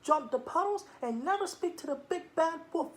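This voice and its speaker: A woman voicing a mother giving her little girl instructions in a storybook reading, soft and high. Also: lively intonation, normal speed. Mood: instructing.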